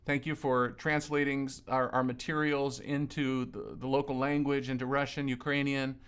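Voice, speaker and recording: A man from Lebanon interviewing a colleague in an earnest, conversational way, often pausing and repeating words. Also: mid-range voice, little pitch movement, a bit bright, clear, resonant tone. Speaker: ministry leader interviewing a colleague.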